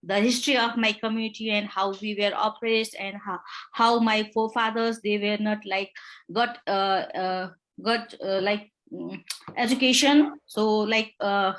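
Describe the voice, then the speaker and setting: A woman's voice: mid-range voice, fairly even intonation, a bit bright, poor audio. Young nepali woman lawyer in an interview, lots of uhs and likes, stops and restarts. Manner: halting, many fillers and restarts. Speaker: human rights lawyer. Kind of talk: interview answers.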